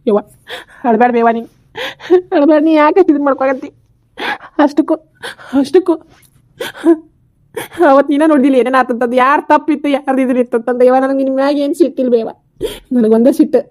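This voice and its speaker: A woman's voice: high voice, fairly even intonation, fairly quick, long pauses, darkish tone, lightly acted. A woman playing a blunt but concerned grown son in a family drama, insistent and questioning, with a North Karnataka accent. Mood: anxious pleading. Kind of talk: melodramatic family drama acting.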